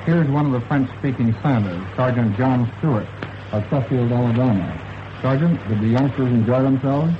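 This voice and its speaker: An American man of medium pitch narrates a scripted 1940s radio news report as a war correspondent. The sound is dull and band-limited, with clear radio noise throughout. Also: normal speed. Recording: dull, noisy wartime shortwave radio relay.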